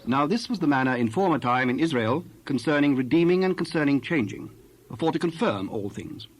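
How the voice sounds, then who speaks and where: A man's voice: fairly high pitch, animated, a little fast. A man narrating a dramatized Bible story in archaic English, reading from a script.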